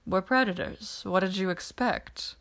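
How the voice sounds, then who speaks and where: A woman voicing a weary, dryly teasing alien man in an audiobook, with a measured, quiet delivery. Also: mid-range voice. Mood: quiet, solemn. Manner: dry, measured, quiet explanations.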